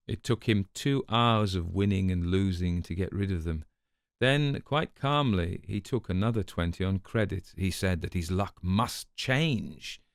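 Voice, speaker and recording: A man narrating a crime story from a script in a measured, articulate, dramatised way. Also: low-pitched, no echo, studio quality.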